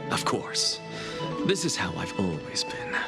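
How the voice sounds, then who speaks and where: A low-voiced, aloof male scholar, cool and disdainful, his pitch rising and falling expressively. He is measured and scornful, heard over game music. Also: big pitch swings, vocal fry, exaggerated voice. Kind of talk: studio game voice acting. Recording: game cutscene with music behind.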